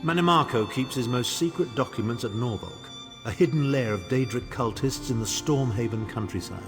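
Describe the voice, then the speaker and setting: An actor playing a haughty, scheming imperial chancellor with a pompous, disdainful manner in a fantasy game. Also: male voice, mid-range voice, moderate pace, close to an everyday voice. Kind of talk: theatrical fantasy game dialogue. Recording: long reverb, music behind.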